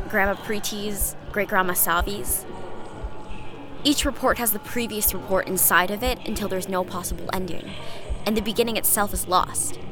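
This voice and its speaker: An actress playing an earnest, defiant young daughter in an audio drama, speaking with intense, deliberate, poetic phrasing. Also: female voice, mid-range voice, lightly acted. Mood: wistful, intent. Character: earnest, defiant teenage daughter preparing for ritual role. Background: music under the voice.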